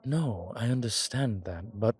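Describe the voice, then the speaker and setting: Guy doing an old vampire lord for audio rp, lowish voice, really slow n measured, formal and haughty but has a soft spot. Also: husky. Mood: surprised, puzzled. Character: ancient male vampire commander, haughty yet tender to his companion. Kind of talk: intimate audio roleplay acting, one side of a conversation.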